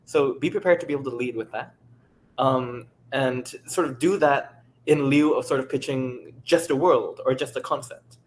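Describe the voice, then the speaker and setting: A man speaking as a panelist, giving advice in casual, earnest, halting conversational talk. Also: mid-range voice, a little hiss or hum.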